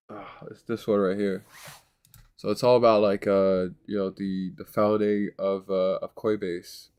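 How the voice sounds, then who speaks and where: Man, nasal congested voice, low pitch, flat delivery, rambling, hesitant, casual vlog. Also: smooth. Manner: rambling, hesitant, many fillers and restarts. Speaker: vlogger. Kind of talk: casual self-recorded vlog.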